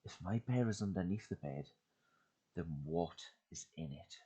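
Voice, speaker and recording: A man giving a dramatic reading of a horror story into a home desk mic, swinging from loud urgency to hushed, breathy lows. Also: lively intonation, normal speed, highs cut off. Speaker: YouTube creepypasta reader.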